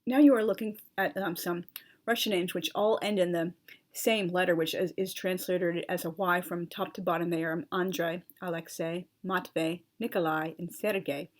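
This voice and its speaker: A woman's voice: on the low side, fairly even intonation, normal speed. A young adult woman explaining a topic at length in an earnest, precise way, spelling words out letter by letter, with chatty asides and fillers. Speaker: channel host explaining language. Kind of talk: talking-head explainer, partly read from notes.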